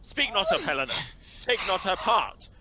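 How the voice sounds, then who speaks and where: A man's voice: high voice, very animated, normal speed, noisy background. A man playing a jealous, haughty young lover, challenging and emphatic in an amateur Shakespeare radio play.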